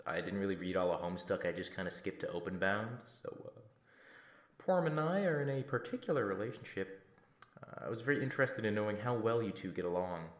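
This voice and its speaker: A man's voice: on the low side, echoey room, close to an everyday voice. A man voicing an awkward, fawning cosplayer fan in a comic dub, hesitant and overly polite.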